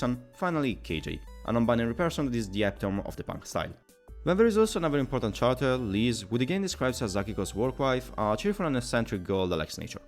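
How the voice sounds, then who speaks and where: A man narrating a scripted video game review, giving his opinions in an explanatory way. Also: mid-range voice. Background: background music.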